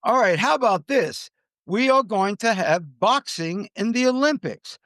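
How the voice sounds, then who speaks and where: A man's voice: sits high, animated, measured pace, a bit bright, no room sound. An American man hosting a podcast, giving conversational commentary on the news. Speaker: podcast host.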